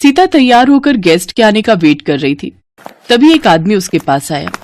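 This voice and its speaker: A woman's voice: medium pitch, big pitch swings, moderate pace, a little mellow, a little hiss or hum. A woman reading scripted narration as the narrator of a story.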